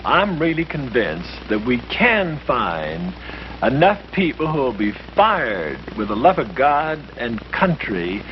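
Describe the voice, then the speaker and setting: An earnest man lectures with a lively, swooping delivery that rises and falls as he stresses his points. He sounds like an old 1950s film reel, muffled and dull, with a faint hiss under him. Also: mid-range voice, takes it slowly. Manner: earnest, emphatic, explanatory. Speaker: lecturer explaining history to friends. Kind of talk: scripted 1950s film dialogue.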